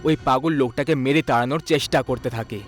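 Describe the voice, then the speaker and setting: A man's voice: mid-range voice, a little fast, soft background music. A man narrating a scripted horror story.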